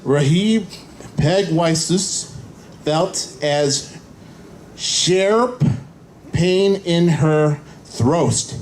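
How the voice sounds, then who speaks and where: A man giving a halting, dramatic live reading, deliberately sounding out each odd word. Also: fairly high pitch, a little mellow, rough recording. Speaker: panel participant reading aloud. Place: indoor convention panel room. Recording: faint live room noise.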